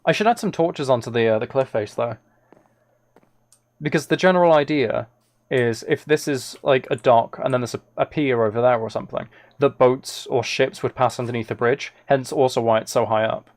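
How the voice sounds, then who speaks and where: A man's voice: medium pitch, normal speed. A man chatting casually on a gaming livestream, rambling and thinking aloud as he plays. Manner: rambling, musing to himself while playing. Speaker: live streamer.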